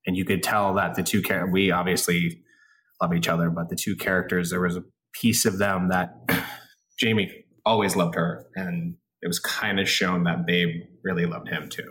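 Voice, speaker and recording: Man, 40s, low-ish voice; self-deprecating, laughing podcast guest. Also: flat delivery, moderate pace, a bit bright, a little hiss or hum. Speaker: actor guest. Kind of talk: casual podcast interview.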